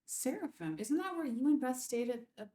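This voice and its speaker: Woman playing brisk, high-voiced female boss. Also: very animated, dark tone. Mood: brisk, concerned. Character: brisk, teasing woman boss and old friend. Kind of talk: table read of film script.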